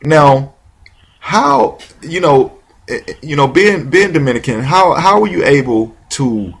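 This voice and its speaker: A man's voice: on the high side, lively intonation, unhurried, gravelly, fairly bright tone, husky. A man hosting a talk show, rambling with lots of restarts and casual slang. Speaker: radio show host.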